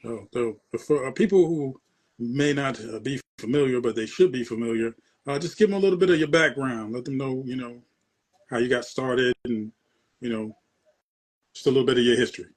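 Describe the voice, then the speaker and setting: A man hosting a casual interview, putting his questions in a halting way and rephrasing as he goes. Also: medium pitch, a little mellow. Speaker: interview host.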